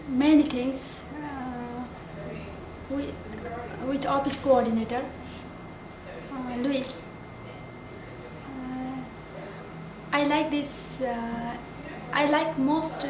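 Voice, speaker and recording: A woman from Nepal with a Nepali accent, introducing herself as an office volunteer in halting, non-fluent phrases. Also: high-pitched. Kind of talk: simple interview self-introduction. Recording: echoey, distant mic, noisy background.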